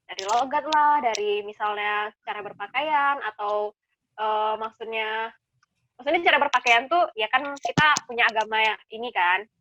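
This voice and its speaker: A woman's voice: on the high side, moderate pace, fairly bright tone, lo-fi sound, a little hiss or hum. A young woman from Indonesia co-hosting a campus podcast in casual chat, thinking aloud with frequent fillers. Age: young adult. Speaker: podcast co-host.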